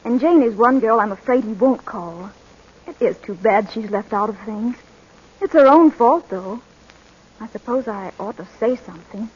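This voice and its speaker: Young woman, polite and discreet, slightly husky; 1940s radio commercial, muffled sound. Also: on the high side, moderate pace, darkish tone. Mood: awkwardly tactful. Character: polite, tactful young woman in a soap advertisement. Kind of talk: 1940s radio advert acting. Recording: muffled old radio recording.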